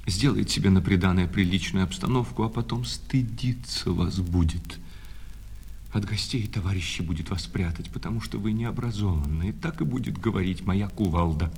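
An actor in a radio play voicing a lovesick shop clerk who swings between polite sales patter and bitter reproach. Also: male voice, deep voice, normal speed, creaky, darkish tone, breathy edge, exaggerated voice. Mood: bitter, reproachful. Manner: servile sales patter alternating with reproachful, insistent talk. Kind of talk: Russian radio play of a classic short story. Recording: long reverb with music behind.

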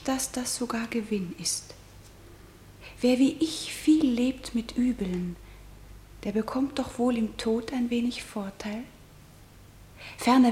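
A woman's voice: fairly high pitch, darkish tone, reverberant, natural voice. A woman playing a defiant, unbending young princess, declaiming with intensity in a verse radio drama.

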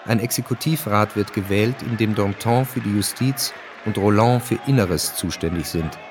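A man narrating a radio drama from a script in a measured, matter-of-fact way. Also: fairly low pitch, background noise.

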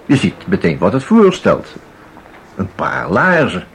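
A chatty, flustered everyman in a radio play. His very deep voice rises and falls in lively swoops as he hesitates, repeats himself and tacks on little questions. There is faint noise in the recording. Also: measured pace, full character voice. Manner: hesitant, rambling, full of repetitions and tag questions. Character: chatty, flustered ordinary man, an amateur art lover. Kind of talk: Dutch radio play acting. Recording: audible background noise.

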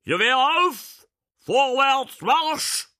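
A man voicing a commanding, scornful prince disguised as a vagabond in a storybook, barking in a powerful, booming voice. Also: animated, very slow, pushed and bright, heavily acted.

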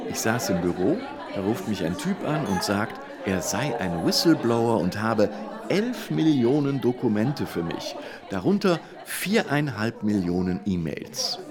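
A man's voice: lowish voice, sing-song, unhurried, crowd noise. A man playing a sceptical, grumbling radio journalist with a German accent and a dry, dismissive manner in a conversational radio drama. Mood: dry storytelling. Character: sceptical, grumbling male radio journalist, exasperated by his colleague. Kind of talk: conversational radio feature acting.

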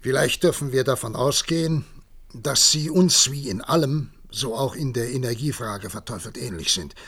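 An actor playing a grave, statesmanlike president in a radio play, speaking in a measured, formal, oratorical way. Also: male voice, medium pitch, a bit bright, husky. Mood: urgent. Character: grave statesmanlike male president of future humanity. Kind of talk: German radio play acting.

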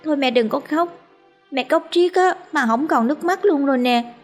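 A woman with a Southern Vietnamese accent voicing a caring young girl who speaks in a high, pleading, childlike way. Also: normal speed, faint music behind, natural voice. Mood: earnest, worried.

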